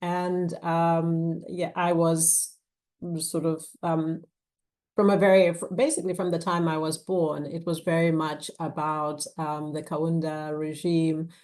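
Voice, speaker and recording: A woman speaking as a guest author at a live book event, in a measured, pausing way. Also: low-pitched, level tone, darkish tone. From Zambia. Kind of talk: live author talk and reading from a novel.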